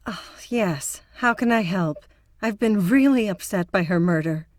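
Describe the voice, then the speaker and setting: A woman's voice: medium pitch, a little slow, no echo, studio quality, close to an everyday voice. A woman playing an earnest, principled psychotherapist with a prim, concerned manner in an audio drama. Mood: guarded.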